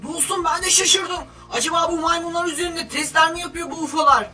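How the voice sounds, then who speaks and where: A chirpy cartoon superhero sidekick, put on by a performer, extremely high and bright, coaxing and reassuring. The voice sits a little away from the mic, over music. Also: fairly even intonation, brisk, heavily acted. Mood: puzzled. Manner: friendly, reassuring, cajoling. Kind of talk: comic game-cartoon dub. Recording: distant voice with music behind.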